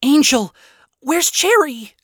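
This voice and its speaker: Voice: high voice, sing-song, slow pace, fairly bright tone, dry recording, clean studio sound, pitched above the performer's own voice, heavily acted. A performer voicing an earnest, anxious young demon woman calling out urgently in a story. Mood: worried.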